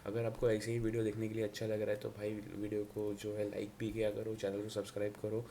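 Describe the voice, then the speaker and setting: A man's voice: on the low side, monotone, fast, mellow. A man with a Mumbai Hindi accent talking casually to viewers as a motorcycle vlogger, explaining colloquially and repetitively with English words mixed in. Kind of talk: casual vlog talk to viewers. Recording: noisy background, ambient and outdoor hum.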